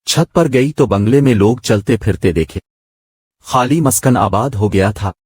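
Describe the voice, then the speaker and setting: A man's voice: medium pitch, a little mellow, slightly rough, dry recording, studio quality. A man reading a scripted moral story as its narrator.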